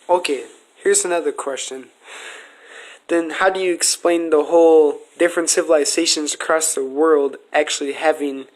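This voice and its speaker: A man's voice: a little high, poor audio. A man hosting a podcast, asking questions in a casual interview style.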